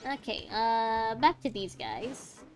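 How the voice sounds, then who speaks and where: Female game streamer, slightly high voice, playful and casual commentary, light music behind. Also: sing-song, slow pace. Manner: playful, chatty asides to game characters. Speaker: gaming streamer.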